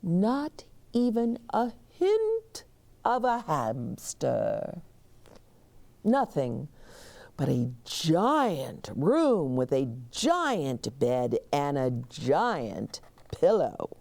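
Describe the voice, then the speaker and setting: A woman's voice: sits low, huge pitch swings, very slow, vocal fry, darkish tone. A woman hosting a children's story time, reading aloud expressively with chatty asides, explanations and questions for young listeners.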